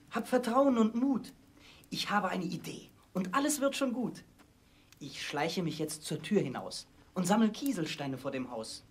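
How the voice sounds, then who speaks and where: Eine Frau spielt in einem Märchenhörspiel einen tapferen, klugen kleinen Jungen, der ernsthaft und tröstend spricht. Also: female voice, on the low side. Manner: earnest, reassuring. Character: brave, comforting, clever young boy. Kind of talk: children's fairy-tale audio play.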